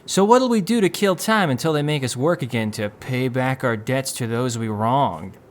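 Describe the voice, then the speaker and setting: A man's voice: mid-range voice, lively intonation, moderate pace, lightly acted. An actor playing a young man in a wheelchair who wistfully narrates his childhood. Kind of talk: audio drama narration. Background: music.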